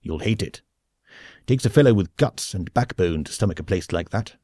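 A male audiobook reader voicing a big, slow-moving, pompous male office boss who blusters in an old-fashioned way, in a storybook reading. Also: low-pitched, lively intonation, dark tone, no echo, studio quality. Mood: blustering.

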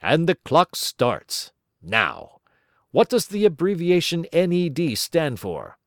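A man's voice: a little high, huge pitch swings, slow pace, fairly bright tone, no echo, clean studio sound, voice pushed up, full character voice. A man voicing a crusty older male quiz-show host, stiff and formal.

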